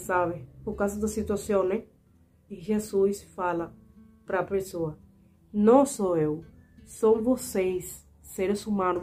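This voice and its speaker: A woman's voice: medium pitch, darkish tone. Earnest young Cuban woman vlogger. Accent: Cuban Spanish. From Cuba. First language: Spanish. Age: 20s. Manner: earnest, indignant, repeatedly addressing viewers as "gente". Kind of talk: personal storytelling to camera.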